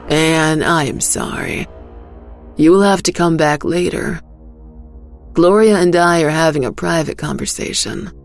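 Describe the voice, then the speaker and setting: A woman performs a big, grave male sheriff in an audio drama. The delivery is low, creaky and measured, serious throughout, with faint music behind it. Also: animated, heavily acted. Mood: stern, grave. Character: Luke, large, grave small-town male sheriff.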